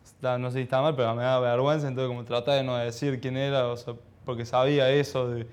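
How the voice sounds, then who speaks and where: Hesitant young Argentine man, smooth and even. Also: mid-range voice. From Argentina, childhood in Ecuador. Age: around 20. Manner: modest, hesitant, halting. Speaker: professional footballer being interviewed. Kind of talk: casual interview answers.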